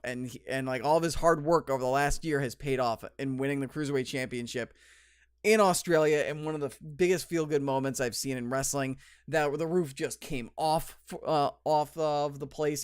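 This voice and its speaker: Young male podcast host, under the weather, smooth mid voice, chatty and full of ums, dry close sound. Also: mid-range voice, no echo. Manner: rambling, lots of ums and restarts, enthusiastic. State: slightly sniffly with a cold. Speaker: podcast host reviewing wrestling. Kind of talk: solo casual podcast review.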